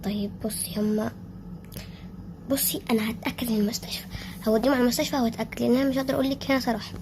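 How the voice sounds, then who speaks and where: A young performer voicing a male doctor who speaks quickly and evasively in an Egyptian accent, with a voice pushed lower than the performer's own. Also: fairly even intonation, noisy background, close to an everyday voice. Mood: evasive, rushed. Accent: Egyptian Arabic. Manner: rushed, evasive. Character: brisk male doctor at a rescue.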